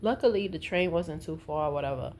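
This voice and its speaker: A woman's voice: low-pitched, a little fast, a little mellow. A middle-aged woman, chatting casually in an informal, meandering, conversational way as a vlogger. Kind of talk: casual rambling chat. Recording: noticeable background noise.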